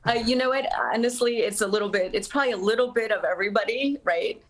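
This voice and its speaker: A woman's voice: on the high side, fast talker. A woman chatting casually as an interview guest, with an informal, playful manner. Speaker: actor and media personality, interview guest.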